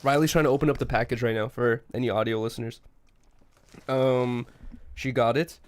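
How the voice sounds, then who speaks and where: A man's voice: mid-range voice, fairly even intonation, normal speed, clean tone. A young man from Russia, co-hosting a casual podcast, rambling with lots of fillers and swearing. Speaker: podcast co-host.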